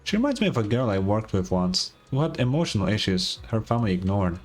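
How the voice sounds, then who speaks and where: A man playing a calm, reassuring young man in a game, easygoing and gently teasing in manner. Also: lowish voice, fast talker, faint music behind. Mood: concerned.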